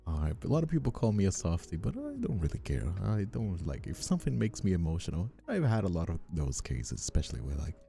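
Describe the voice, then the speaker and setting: A man's voice: low-pitched, wildly swooping pitch, fast, vocal fry, hardly any pauses, dark tone, faint music behind. A man chatting casually as a streamer, hesitant and often repeating his words as he goes. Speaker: streamer, host. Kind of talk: casual live stream chat.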